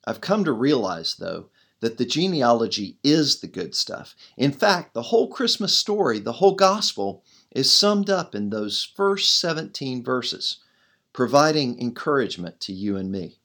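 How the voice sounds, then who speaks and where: A middle-aged man with a loud voice, a pastor reading his own devotional blog aloud in an earnest way, leaning hard on key words. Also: mid-range voice, big pitch swings, a little slow.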